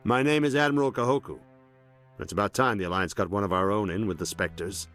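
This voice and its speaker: A man acting as a senior admiral in a space game, with a lowish voice and a blunt, weary, formal manner. He sounds gruff and resolute, with faint music playing behind him. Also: fairly quick, a bit bright, lightly acted. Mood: formal, approving.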